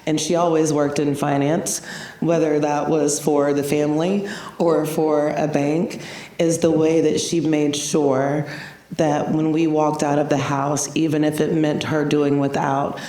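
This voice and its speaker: Low, flat-voiced woman moderating. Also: measured pace. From United States. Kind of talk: prepared panel introduction. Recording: roomy hall, mic at a distance.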